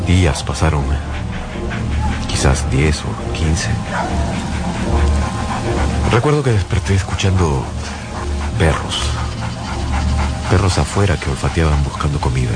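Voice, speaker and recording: An actor playing a haunted, desperate survivor of an invasion, telling his story in halting, anguished phrases in a radio drama. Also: a bass voice, lively intonation, full character voice. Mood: desolate. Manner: halting, repeating words, anguished. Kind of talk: dramatic first-person radio drama narration. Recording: loud music under the voice.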